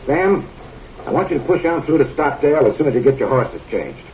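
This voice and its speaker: An actor playing a stern, suspicious frontier sheriff who gives curt, gruff orders, heard on muffled old film audio. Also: male voice, fairly high pitch, animated, brisk, recorded from a distance, slight background noise, lightly acted.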